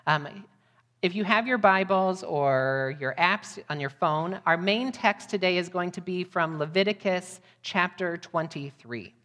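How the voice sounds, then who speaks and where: A woman's voice: lowish voice, animated, unhurried, fairly bright tone. An American woman giving a sermon to a congregation as a guest missionary preacher. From United States, Midwest. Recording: long hall reverb.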